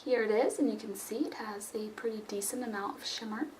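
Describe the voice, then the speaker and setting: A woman with a cold and a hoarse voice, chatting casually through a makeup haul review with frequent ums and restarts. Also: on the high side, steady tone, brisk, a little mellow. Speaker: beauty vlogger. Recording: roomy echo, from a distance, faint noise.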